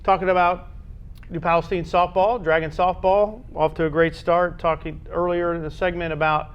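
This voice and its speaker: Higher-voiced man hosting sports talk, slightly dull sound. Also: little pitch movement, moderate pace, smooth. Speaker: sports talk show host. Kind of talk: conversational sports discussion.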